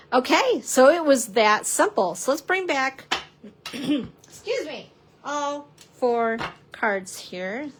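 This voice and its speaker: A woman teaching a live craft demonstration, chatty and prone to laughing at herself, a little hoarse as she's losing her voice. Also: on the high side, slight background noise, low-quality recording. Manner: chatty, giggly, self-deprecating, exclamatory. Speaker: papercrafting instructor.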